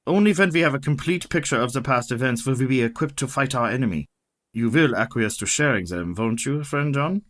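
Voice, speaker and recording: An actor playing a solemn, authoritative older professor and vampire hunter in a radio play, speaking formally and deliberately. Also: male voice, mid-range voice, lively intonation, a bit bright, lightly acted. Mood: persuasive, courteous.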